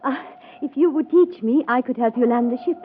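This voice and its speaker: An actress playing a polite young female rocket pilot, soft and shy, in a 1950s radio drama. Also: high voice, fairly quick, phone-quality sound, a little hiss or hum, lightly acted. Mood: gentle. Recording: old telephone-thin radio broadcast.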